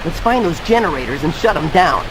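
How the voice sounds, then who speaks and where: An earnest teenage boy hero from a cartoon game, high and very expressive. He sounds youthful and determined, with clear noise behind him. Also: brisk. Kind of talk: cartoon video game acting.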